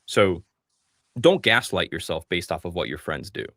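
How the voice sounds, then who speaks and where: A man's voice: deep voice, sing-song, normal speed, fairly bright tone, no room sound, studio quality. A man speaking on a call-in show, giving blunt and direct advice.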